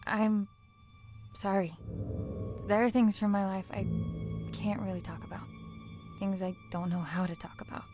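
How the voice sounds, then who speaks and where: Actress as weary female game protagonist, earnest, hesitant, troubled, medium-pitched, music behind. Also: flat delivery, measured pace, long pauses, close to an everyday voice. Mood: hesitant, guarded. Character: Annie, weary conscripted female test worker, earnest and troubled. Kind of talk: video game voice acting.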